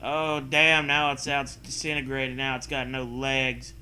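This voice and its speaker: A man's voice: medium pitch, completely flat delivery, a little slow, sharp tone, clean tone. A man reading a story aloud and breaking in with sarcastic, sweary casual commentary. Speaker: host reading and mocking fan fiction.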